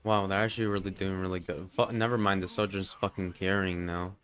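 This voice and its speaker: A man with a slightly low, congested voice talks through a live gaming stream. He rambles and swears casually, filling the gaps with "like" and "you know". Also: flat delivery, a little fast, fairly bright tone. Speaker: livestreamer. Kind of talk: casual live gameplay commentary.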